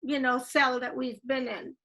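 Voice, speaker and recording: A woman from Guyana hosts a video-call interview in a chatty, eager way. Her voice is high and bright, with a clear, lively edge, and her statements often rise like questions. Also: measured pace. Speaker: interview host.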